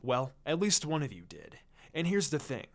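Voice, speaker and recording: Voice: mid-range voice, big pitch swings, normal speed, voice pushed down. A narrator voicing a stern, serious male ninja teacher who lectures, in a story reading.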